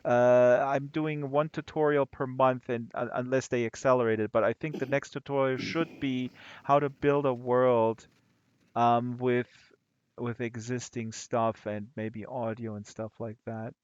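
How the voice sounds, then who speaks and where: A man with a German accent hosts a live stream in a joking, talkative way, his voice sitting at a middle pitch. The sound is dry and close, with no room around it. The audio is a little dull and lo-fi. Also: level tone, unhurried, clean tone, no room sound. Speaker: host of a virtual-world tour stream. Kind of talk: casual live-stream chat.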